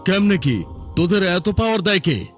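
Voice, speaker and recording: A man's voice: high voice, very animated, normal speed, soft background music. A man playing a cruel, boastful tyrant leader in a cartoon, commanding and sneering. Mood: angry, sneering. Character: arrogant, cruel tyrant leader gloating over his enemies. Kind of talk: dubbed cartoon villain dialogue.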